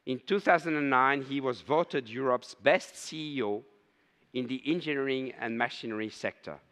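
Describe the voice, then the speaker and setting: Older guy emceeing, formal intro, crisp bright voice echoing round a big hall. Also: medium pitch. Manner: measured, ceremonial. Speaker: conference host introducing speaker. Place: large conference hall stage. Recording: long hall reverb.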